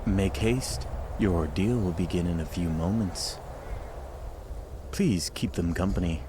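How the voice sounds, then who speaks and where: A man's voice: low voice, unhurried, background noise. A man playing a regal, benevolent desert ruler and master in a fantasy audio drama, speaking with formal authority. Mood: encouraging, stately.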